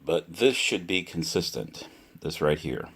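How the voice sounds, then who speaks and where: A man giving a casual, unscripted product demonstration, speaking deliberately and repeating himself for emphasis. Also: low voice, lively intonation, a bit bright, a little hiss or hum. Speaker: product reviewer.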